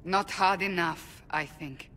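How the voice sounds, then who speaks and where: A devout female warrior from a video game, speaking slowly in a lowish voice. She is blunt, grave and self-reproaching, and long reverb trails her deliberate words. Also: sharp tone, a little hiss or hum, heavily acted. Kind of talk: video game cutscene acting.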